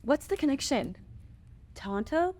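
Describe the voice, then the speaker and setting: A woman's voice: sits high, very animated, measured pace, darkish tone, a little hiss or hum. An actress playing an earnest, outspoken modern woman out of place in a fantasy screenplay table read. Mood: perplexed.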